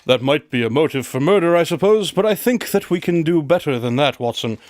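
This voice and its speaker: An actor playing an aloof, methodical detective in a radio drama, with a dry, pompous, clipped manner. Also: male voice, on the high side, animated, fairly quick, darkish tone, no echo, close to an everyday voice. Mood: dry deduction. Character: aloof, methodical male detective in the Sherlock Holmes mould.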